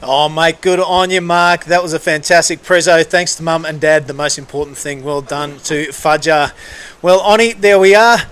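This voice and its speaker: A man's voice: on the high side, fairly even intonation, moderate pace, fairly bright tone, clear, resonant tone. A man hosting live surf coverage in a casual, matey, enthusiastic way. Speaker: surf broadcast commentator and host. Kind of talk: casual live sports commentary.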